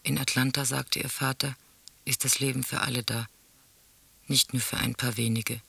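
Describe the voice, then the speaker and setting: Solemn storyteller, flat intonation, husky and tense, close dry sound, radio play recitation. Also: male voice, medium pitch, normal speed, no echo, full character voice. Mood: grave. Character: sombre male teller reciting grim memories and letters. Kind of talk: radio drama monologue, literary recitation.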